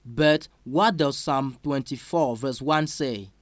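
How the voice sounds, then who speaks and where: Slow, careful male sermon interpreter. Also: mid-range voice, no room sound. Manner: slow, careful, with small slips and fillers.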